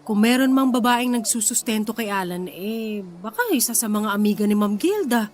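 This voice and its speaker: A woman's voice: a little high, lively intonation, moderate pace, music in the background, close to an everyday voice. A woman playing a suspicious, worried wife confiding in a younger sister in a radio drama, hesitant and defensive. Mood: uneasy, doubtful.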